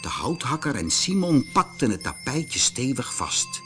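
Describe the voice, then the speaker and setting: A man narrating a scripted children's fairy tale in an expressive, dramatic way. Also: medium pitch, unhurried, soft background music. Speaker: storyteller.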